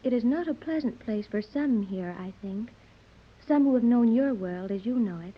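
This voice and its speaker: A woman's voice: a little high, animated, moderate pace, breathy edge, muffled recording. A woman playing an earnest, precocious fifteen-year-old girl who speaks in formal, bookish phrases, in 1930s radio serial style. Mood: grave. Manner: formal, bookish, precise wording.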